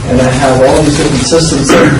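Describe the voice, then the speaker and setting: A man with a German accent giving a slide presentation to a small audience, talking in a halting way with many fillers and restarts. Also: medium pitch, steady tone, background noise. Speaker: industry speaker. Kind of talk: conference presentation. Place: classroom with projector screen. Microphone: far room camera. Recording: echoey room from far off.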